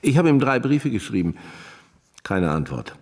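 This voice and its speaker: An actor playing a distinguished, preoccupied middle-aged professor in a radio drama, with a husky voice. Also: male voice, medium pitch, very animated, normal speed. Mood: weary, testy. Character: distinguished older Austrian professor, preoccupied, later dazed and dying. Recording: long reverberant hall with music underneath. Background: music.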